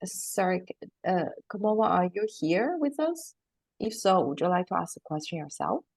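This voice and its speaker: A woman moderating an online Q&A, polite and measured, with some hesitant fillers. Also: on the low side, big pitch swings, vocal fry, a little mellow, no room sound. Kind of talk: webinar moderation. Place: online video call.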